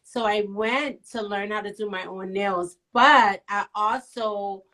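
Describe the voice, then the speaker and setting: A middle-aged woman from Liberia, a nail professional and author, telling her story as an interview guest in a lively, teasing way. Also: mid-range voice, slow. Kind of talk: casual interview.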